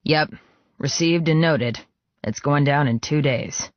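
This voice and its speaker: A man's voice: fairly high pitch, slow pace, no echo, lo-fi sound. A man playing a tough male biker gang leader who talks in a curt, commanding manner.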